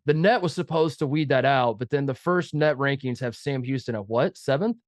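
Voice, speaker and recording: A man's voice: mid-range voice, a little fast, no echo. A nasal, congested man talks through a casual sports podcast, wandering from point to point and poking fun at himself. His voice sits mid-range, recorded close and dry in a studio with no room sound. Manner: rambling, joking, self-mocking. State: head cold, nasal. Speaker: podcast co-host.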